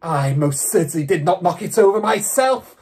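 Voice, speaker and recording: A monstrous, bullying headmistress from a children's storybook, acted out by a man. The voice leaps high and turns husky as it bellows. Every accusation comes out loud, furious and drawn out. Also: animated, normal speed. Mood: indignant rage. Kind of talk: storybook character reading.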